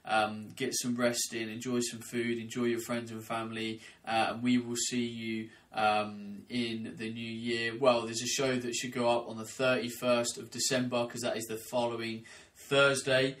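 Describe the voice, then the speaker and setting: A man's voice: medium pitch, little pitch movement, moderate pace, few pauses, fairly bright tone, recorded from a distance. A man hosting a podcast, talking bluntly and casually. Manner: blunt, chatty, says mate. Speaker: podcast host.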